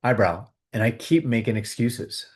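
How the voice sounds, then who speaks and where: A man hosting a video, calmly guiding viewers through a tapping exercise with repeated phrases. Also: mid-range voice, moderate pace. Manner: calm, repetitive, coaching tone. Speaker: host leading a tapping exercise. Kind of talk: guided tapping exercise and money talk.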